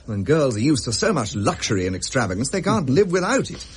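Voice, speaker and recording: A man's voice: medium pitch, sing-song, a little fast, slight background noise, lightly acted. A man playing a roguish, jovial Victorian bachelor captain with an English accent and a jaunty, blustering manner in a period drama. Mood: breezy, flippant. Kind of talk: period radio drama acting.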